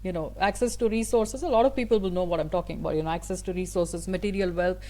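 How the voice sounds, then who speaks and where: A woman's voice: fairly low pitch, quick pace, warm tone. A woman whose first language is Tamil, a guest speaker answering an interview at length in an earnest, thoughtful way, with frequent fillers and restarts.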